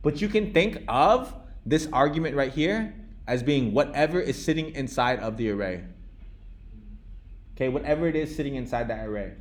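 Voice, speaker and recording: A man's voice: mid-range voice, expressive, moderate pace. A young man teaching a live classroom coding lesson, talking casually with lots of restarts and checks like "okay?" and "right?". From United States. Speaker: coding instructor.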